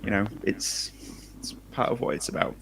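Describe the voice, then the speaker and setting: A man's voice: moderate pace, a bit bright, a little hiss or hum, poor audio. A man speaking as an author in a casual livestream discussion, explaining ideas reflectively as he thinks aloud. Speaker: author, guest discussing own book.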